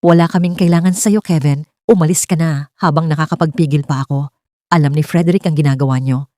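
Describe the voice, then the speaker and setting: A woman's voice: low-pitched, steady tone, brisk, warm tone, dry recording, studio quality, lightly acted. A woman voicing an angry, bitter older mother-in-law who speaks with scornful accusation and hurt in a dramatic story reading.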